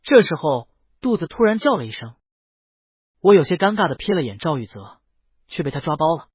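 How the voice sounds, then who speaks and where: A man's voice: a little high, very animated, fairly quick, slightly rough, dry recording. A man reading a scripted first-person romance story as an audiobook narrator. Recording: dull lo-fi, very dry close recording.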